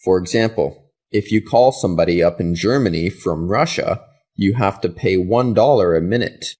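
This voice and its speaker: A man reading practice questions and answers for an English lesson in a slow, deliberate way. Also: low voice, darkish tone, slightly rough.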